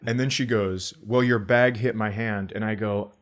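Low-voiced American man telling comic stories. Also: fairly even intonation, normal speed. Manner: acts out dialogue, profane. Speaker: comedy podcast host. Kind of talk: casual comic storytelling.

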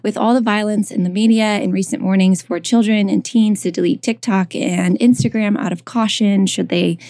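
A woman's voice: mid-range voice, fairly even intonation, moderate pace, mellow, dry recording. A woman in her thirties co-hosting a podcast, chatting casually with lots of fillers and restarts. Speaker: podcast co-host.

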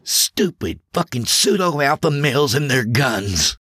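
A man's voice: medium pitch, sing-song, takes it slowly, background noise, pitched above the performer's own voice. A man voicing an exhausted, irritable male doctor in an audiobook. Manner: bitter, snapping.

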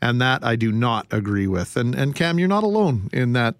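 A man's voice: medium pitch, normal speed, clean tone, dry recording. Dry-sounding male radio co-host. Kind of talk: live radio discussion, reading listener texts.

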